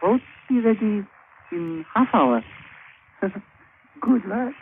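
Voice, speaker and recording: A scheming island witch doctor with a high, very animated voice. He talks in clipped broken English, sly and menacingly amused. It sounds like a tinny old radio drama recording. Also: takes it slowly, heavy background noise, heavily acted.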